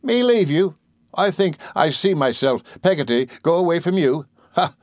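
A blunt, big-hearted servant woman from a storybook, acted out by a man. The voice is pushed up high and full of exclamation. She fusses and protests in homely, colloquial speech, indignant and devoted. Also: animated, normal speed, dry recording, studio quality, close to an everyday voice. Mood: devoted, fussing. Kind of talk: audiobook character voice.

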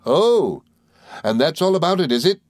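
A man's voice: a little high, very animated, a little mellow, no room sound, studio quality, voice pushed up. A man doing a tiny, giggling, volatile woman. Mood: rattling. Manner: rapid, giggling, volatile chatter. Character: tiny, chattering, sly middle-aged woman.

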